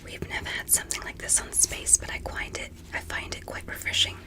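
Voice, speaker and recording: A woman doing an ASMR medical roleplay as a courteous, curious alien doctor, in a breathy whisper with a gentle, deliberate, reassuring manner.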